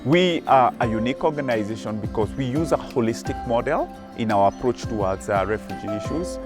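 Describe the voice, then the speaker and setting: A man's voice: medium pitch, animated, music in the background. Earnest man explaining his charity's work. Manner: earnest, measured, with fillers. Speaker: charity program staff. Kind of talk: interview soundbites.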